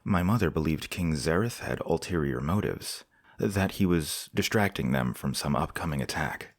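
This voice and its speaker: An actor playing a courteous visiting prince in an audio drama, measured and formal in manner. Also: male voice, extremely low pitch, expressive, no echo, studio quality, exaggerated voice. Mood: grave, measured. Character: courteous, composed visiting foreign prince, a candid friend.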